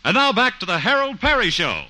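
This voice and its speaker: A man's voice: sits high, sing-song, brisk, loud music behind the voice, rough recording. A man announcing from a script in a mid-century radio style.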